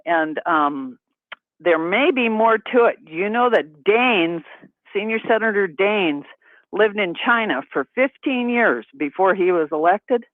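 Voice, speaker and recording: A woman's voice: mid-range voice, lively intonation, a little slow, no echo. A chatty, folksy woman from Montana calls in to a talk show. Her voice comes through a thin, tinny phone line and sounds dry and close. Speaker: caller. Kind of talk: phone call.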